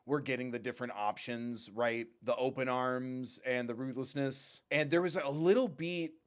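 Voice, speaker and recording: A man hosting a reaction series, giving casual, excited commentary with playful, expressive asides that often cut into his own thoughts. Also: mid-range voice, normal speed, clean tone.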